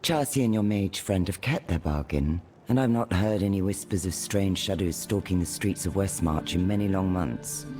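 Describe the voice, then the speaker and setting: An actor playing a stern, formal and guarded city guard commander in a video game, speaking in a measured way. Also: male voice, low-pitched, over music, close to an everyday voice. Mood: guarded, official.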